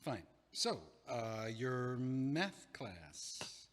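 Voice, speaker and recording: Casting: male actor as anxious, meek young man, halting and apologetic, average-pitched voice, heavily reverberant hall recording. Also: sing-song. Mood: awkward, deadpan. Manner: hesitant, stammering, apologetic. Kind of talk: stage-style audio play dialogue. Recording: echoey hall with long reverb.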